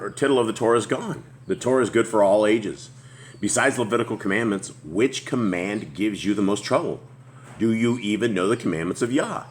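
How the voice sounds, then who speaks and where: A man with a booming voice hosting a casual group Bible study, rambling and restarting as he reads aloud. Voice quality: deep booming voice. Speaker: host.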